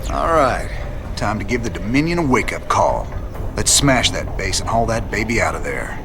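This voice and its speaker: An actor playing a weary, determined rebel leader and rugged frontier gunslinger in a video game, with a gravelly Southern American voice. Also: male voice, fairly low pitch, very animated, moderate pace, over music. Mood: gruff, businesslike. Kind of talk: video game cutscene acting.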